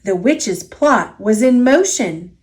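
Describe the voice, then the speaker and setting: A woman reading a children's picture book aloud as a storyteller, expressive and deliberate, drawing out key words. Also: fairly high pitch. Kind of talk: children's story read-aloud, scripted narration.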